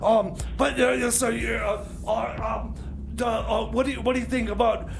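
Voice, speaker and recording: Man playing a high-pitched, gravelly, stammering mechanic. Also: little pitch movement, measured pace, slight background noise, exaggerated voice. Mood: boastful, rambling. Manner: stammering, hesitant, scattered, repeating words. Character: flustered, overconfident male time machine mechanic dressing up punk. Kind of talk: comedy radio theater.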